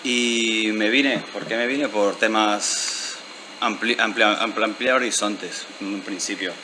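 Soft-spoken man, thoughtful. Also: lowish voice, steady tone, unhurried, background noise. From Venezuela. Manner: thoughtful, hesitant, many restarts. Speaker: guest artist. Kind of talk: interview. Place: lounge bar indoors. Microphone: handheld mic.

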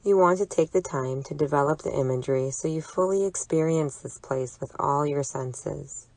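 A woman leading a guided imagery relaxation exercise, speaking in a soothing, deliberate, gently instructive way. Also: deep voice, dry recording. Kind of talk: guided imagery meditation narration.

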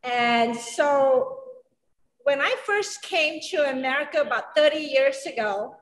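A woman's voice: high-pitched, a bit bright. A middle-aged woman from Singapore and Malaysia, a chef and culinary educator, telling a story during a cooking demo in a large kitchen. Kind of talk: cooking demonstration. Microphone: camera at a distance. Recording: echoey, reverberant kitchen.

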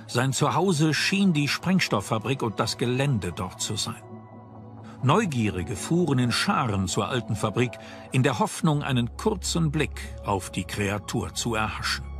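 A man's voice: mid-range voice, fairly bright tone. A man reading scripted narration as the narrator of a documentary.

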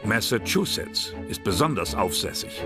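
Low-voiced male documentary narrator. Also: sing-song. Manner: measured, serious. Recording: narration over loud music.

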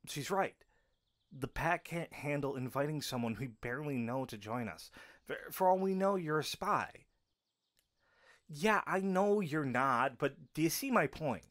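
A man's voice: medium pitch, a bit bright. A man playing a teasing, frank young male werewolf in an audio drama, casual and blunt.

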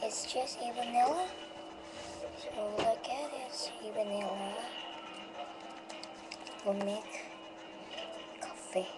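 A woman talks through a homemade cooking demo in a slow, halting way, naming each step as she goes. She is recorded on a webcam in a home kitchen, with loud hiss and room noise around her voice. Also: a little high. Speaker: home cooking show host. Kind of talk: casual cooking demonstration. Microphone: webcam microphone.

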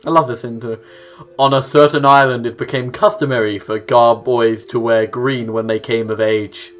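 A man's voice: medium pitch, steady tone, normal speed, fairly bright tone. A young man giving casual let's play commentary, reading game text aloud and adding offhand asides. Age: young adult. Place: small room at home, indoors. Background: game music with plucked guitar.

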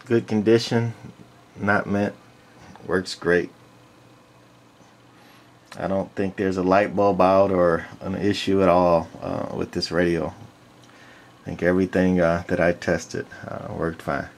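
A man with a fairly low voice talks through a piece of gear casually and without a script. His delivery is matter-of-fact and halting, broken by pauses as he goes. He is recorded indoors on a handheld camcorder's own mic. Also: little pitch movement. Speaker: vintage radio collector presenting a walk-through. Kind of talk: casual unscripted product walk-through. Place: indoors, small room. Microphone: handheld camcorder's built-in mic.

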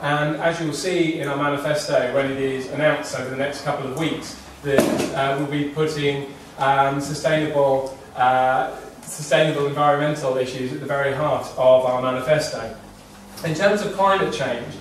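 A young man gives a campaign speech at a public meeting, pressing on through frequent ums and uhs. His voice is somewhat high for a man. He is heard from across a very echoey room, with faint noise under it. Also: fairly even intonation, moderate pace, far from the mic. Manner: fluent with many filler ums and uhs. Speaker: parliamentary candidate. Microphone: far room camera.

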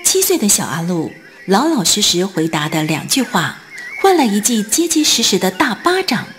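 Casting: female drama narrator, expressive and deliberate, lively intonation, medium pitch. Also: faint music behind. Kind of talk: scripted dramatic storytelling narration.

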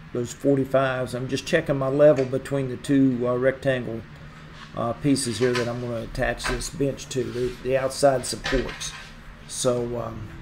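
A middle-aged man casually narrating his welding work, rambling with lots of uhs and ums. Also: medium pitch. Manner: rambling, many uhs and ums, plain-spoken. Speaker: hobby welder and channel host. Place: large open garage workshop. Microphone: camera mic at a distance, close selfie at start. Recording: workshop noise behind, distant mic.

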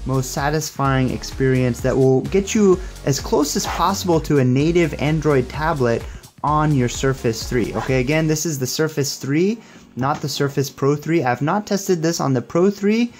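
A young man talks through a tech demo with music playing behind him. His pitch sits in the middle range. He explains things casually and conversationally, in an upbeat tone, like a reviewer walking viewers through steps. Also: normal speed, a little mellow. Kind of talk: casual hands-on demo and tutorial.

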